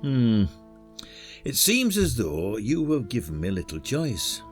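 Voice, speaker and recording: An actor playing a reluctant, older retired detective in a podcast drama, dry and weary with an air of authority. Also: male voice, medium pitch, huge pitch swings, takes it slowly, soft background music. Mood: weary, resigned. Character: retired village police detective, gruff and reluctant.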